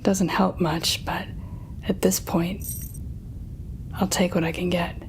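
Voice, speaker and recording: A woman's voice: lowish voice, level tone, slow, noisy background. A woman playing a weary, sardonic telepathic supervillain in an audio roleplay, speaking wryly and dramatically straight to one listener. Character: weary sardonic female telepathic supervillain, bitter yet fair.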